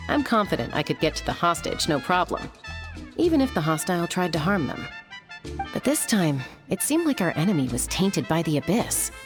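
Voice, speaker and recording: An actress voicing a calm, confident sharpshooter explaining her plan. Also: lowish voice. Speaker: sharpshooter ally. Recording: game scene with loud music.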